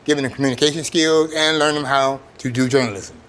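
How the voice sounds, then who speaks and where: A man's voice: mid-range voice, fairly even intonation, a little fast, fairly bright tone, a little hiss or hum. A man speaking as a trainer in an informal workshop, plain-spoken and repeating phrases for emphasis. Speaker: trainer, program coordinator.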